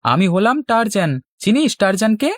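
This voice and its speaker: A woman's voice: medium pitch, lively intonation, normal speed, no echo, clean studio sound, lightly acted. A woman voicing a cheeky, boastful young village boy in a children's cartoon, with cocky back-talk. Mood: cocky, teasing.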